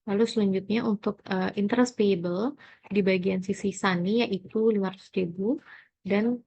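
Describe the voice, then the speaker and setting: A young woman giving an informal step-by-step tutorial, halting and hesitant as she thinks aloud. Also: medium pitch, steady tone, vocal fry, husky, dull audio. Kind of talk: informal accounting tutorial walkthrough.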